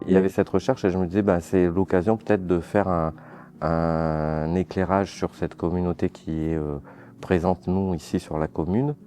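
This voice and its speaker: A man who organizes a local event, speaking in a halting way as he searches for his words. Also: low voice, steady tone, mellow, soft background music. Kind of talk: documentary interview.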